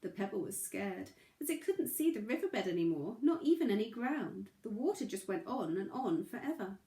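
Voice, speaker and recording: A woman reading a children's story aloud in an expressive, dramatic way. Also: medium pitch, normal speed. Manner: animated, dramatic. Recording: echoey room.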